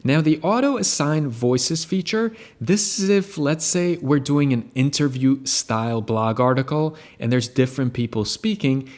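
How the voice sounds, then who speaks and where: Guy doing a casual software tutorial, mid pitched voice, explaining stuff step by step. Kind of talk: casual screen-share tutorial narration.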